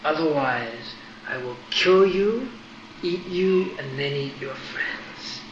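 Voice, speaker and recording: A man voicing a boastful man-eating demon who speaks in slow, drawn-out threats, recorded in an echoey room. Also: fairly high pitch, expressive, highs cut off, a little hiss or hum. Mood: menacing.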